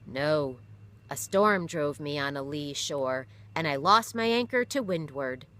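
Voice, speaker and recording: A woman's voice: deep voice, lively intonation, a little slow, fairly bright tone. A woman voicing a weary father, once a ship captain, who explains things firmly in a read-aloud story. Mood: earnest. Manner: explaining, firm.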